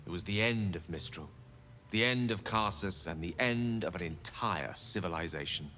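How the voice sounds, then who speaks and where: An actor playing a scholarly, guilt-ridden wizard in a video game, speaking in an eloquent, measured, theatrical storytelling manner. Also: male voice, sits low, sharp tone, in a large hall, a little hiss or hum. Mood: solemn storytelling. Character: wistful, guilt-ridden scholarly wizard man telling his past. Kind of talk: dramatic video game dialogue. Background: music.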